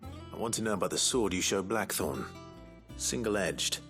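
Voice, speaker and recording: An earnest young fantasy hero with a low, intense voice. He speaks politely and with restraint, as game dialogue, over faint music. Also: animated, a little slow, fairly bright tone, full character voice. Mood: calm, earnest. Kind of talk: dramatic video game dialogue.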